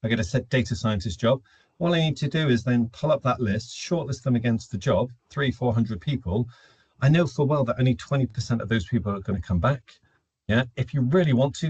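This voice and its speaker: A man with a rough-edged, husky voice presents a business webinar in a very dry acoustic. His pitch sits mid-range for a man. He talks informally and explains with clear opinions, posing questions and then answering them himself. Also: brisk, darkish tone, no room sound. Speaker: webinar presenter, recruitment automation consultant.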